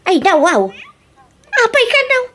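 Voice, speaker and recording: Woman doing shrill chatty old neighbor lady. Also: big pitch swings, measured pace, a bit bright, slight background noise, heavily acted. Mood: teasing. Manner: exclaiming, teasing. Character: chatty older woman neighbor selling pots. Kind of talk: radio drama acting.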